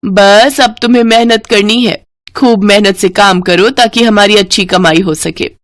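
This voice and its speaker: A woman's voice: mid-range voice, steady tone, normal speed, no room sound, close to an everyday voice. A woman voicing a kindly, practical food-cart owner in a story, frank and encouraging.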